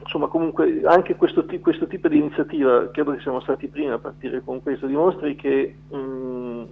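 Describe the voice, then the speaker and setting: A man's voice: mid-range voice, steady tone, brisk, gravelly, slightly rough. A middle-aged man giving an unscripted interview, hesitant and often circling back to correct himself as he explains. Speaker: film festival selection curator, interview guest. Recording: thin, narrow phone line.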